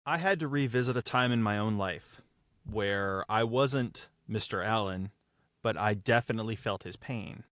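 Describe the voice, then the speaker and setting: A man speaking as a podcast guest, an audio drama writer and actor reflecting on his work with emphatic, dramatic phrasing and deliberate pauses. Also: on the low side, a bit bright, dry recording, clean studio sound. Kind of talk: reflective behind-the-scenes discussion.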